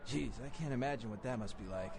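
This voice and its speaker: An actor playing a shallowly sympathetic American buddy in a satirical radio commercial sketch. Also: male voice, medium pitch, fast talker, gravelly, a little mellow, lightly acted. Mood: shallow concern.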